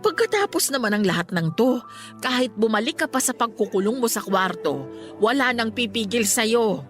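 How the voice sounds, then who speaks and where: A woman playing a concerned middle-aged mother who gently scolds her grown child in a Tagalog radio drama. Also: a little high, big pitch swings, normal speed, faint music behind. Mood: firm, reproachful.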